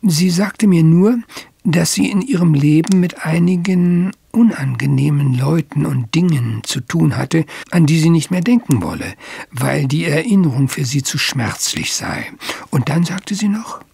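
An actor playing an earnest, proud and worried English country squire in an audio drama, husky and breathy. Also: male voice, fairly high pitch, darkish tone, dry recording, heavily acted. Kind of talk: German audio drama acting.

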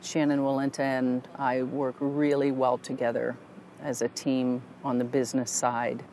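A woman's voice: very deep voice, level tone, a little slow, warm tone, slight background noise. A middle-aged woman, a theater director, talking earnestly in a documentary interview, with a few restarts. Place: theater lobby, indoors.

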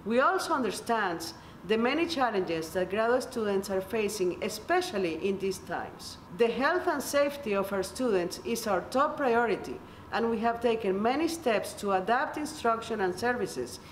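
A middle-aged woman with a Venezuelan Spanish accent reads a scripted welcome as an associate dean. Her voice is slightly high, in an echoey office with faint background noise. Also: expressive, moderate pace, hardly any pauses. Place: indoor office by large windows.

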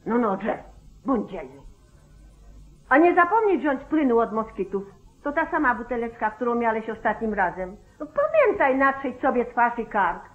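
An actress playing a bossy, nagging, shrewd sheriff's wife in a 1940s radio drama. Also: female voice, on the high side, sing-song, moderate pace, soft background music. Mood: insistent. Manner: commanding, insistent. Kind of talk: 1940s Polish radio play acting. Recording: tinny, band-limited old 1949 radio recording.

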